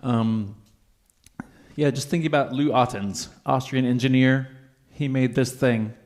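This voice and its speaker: A man's voice: mid-range voice, steady tone, a little slow, a little mellow. Casual man hosting a music stream. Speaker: livestream music host. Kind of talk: casual radio-style chat between songs.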